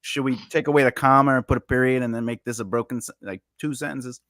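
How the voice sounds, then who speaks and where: A man's voice: medium pitch, fast talker, dry recording. A man chatting casually on a livestream, explaining things at length and cracking jokes. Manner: animated, explains at length, jokey.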